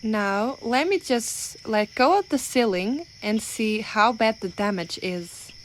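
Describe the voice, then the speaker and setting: Woman acting apologetic clumsy giantess, fairly high voice, unhurried pace, rambling and reassuring, ASMR roleplay, faint crickets behind. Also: animated, a little hiss or hum, low-quality recording. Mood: fussing, exasperated. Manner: hesitant, rambling, gently reassuring. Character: clumsy, well-meaning, apologetic young female giant.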